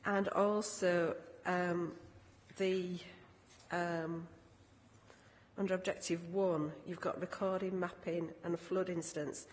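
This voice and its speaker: A woman councillor speaks slowly and haltingly, her voice croaky and a little low. She has a formal questioning style, and the large room echoes around her. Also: flat delivery. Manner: hesitant, halting. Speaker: councillor on a scrutiny committee. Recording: echoey hall with background noise.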